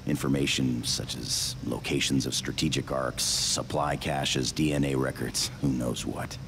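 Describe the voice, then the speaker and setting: An actor playing a gruff, determined rebel captain in a video game, with a gravelly, commanding and grave delivery. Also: male voice, a bass voice, fairly even intonation, unhurried, background noise, exaggerated voice. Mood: grave, grateful. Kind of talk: video game cutscene acting.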